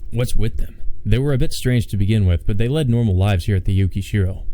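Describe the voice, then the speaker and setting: A man's voice: on the low side, dark tone, poor audio. A man reading a visual novel aloud as a let's play host, with casual commentary. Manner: halting, repetitive stammer in asides.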